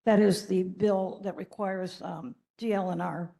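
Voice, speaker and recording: A woman with a hoarse voice asks a polite, slightly apologetic question at a neighborhood meeting. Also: medium pitch, steady tone, a little slow, warm tone.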